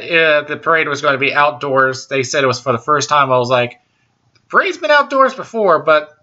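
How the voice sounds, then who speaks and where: A man with a baritone voice, pitched a little high for his range and very bright and forceful. He talks slowly and haltingly, full of ums and restarts, as he hosts a casual solo show. The recording sounds dull and lo-fi. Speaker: podcast host.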